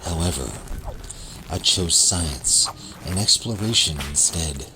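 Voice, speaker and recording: A man's voice: low-pitched, expressive, noisy background, full character voice. A man voicing a solemn, formal sentient plant who is heir to a living planet, in a comedy radio drama. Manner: slow, formal and stately.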